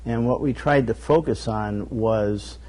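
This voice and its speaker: Man, low voice, mellow tone, earnest interview guest, measured with fillers. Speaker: guest, documentary network director. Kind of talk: talk show interview.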